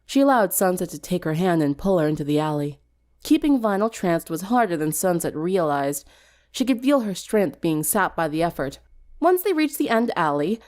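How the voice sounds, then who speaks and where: A woman's voice: on the low side, darkish tone. A woman reading a scripted story aloud as a dramatic narrator. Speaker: fan fiction narrator.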